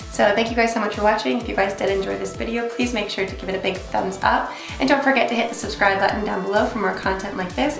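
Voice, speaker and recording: A woman's voice: mid-range voice, vocal fry. A young woman giving a casual, chatty beauty tutorial in an effusive, rambling way, as host. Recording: roomy echo, music behind. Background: music.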